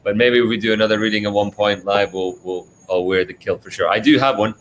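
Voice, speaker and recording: A man's voice: lowish voice, steady tone, fast talker, a bit bright, poor audio. A man from Scotland answering questions as a guest author, agreeable and thoughtful as he finds his phrasing. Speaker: children's book author, guest. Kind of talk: live Q&A, conversational answers. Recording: clear background noise, online live stream.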